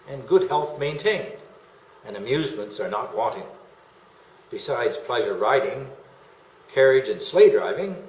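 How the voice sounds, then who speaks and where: Older male reader, medium pitch, dramatic reading of period documents, roomy echo. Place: indoor meeting room. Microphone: camera a few feet away. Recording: echoey room.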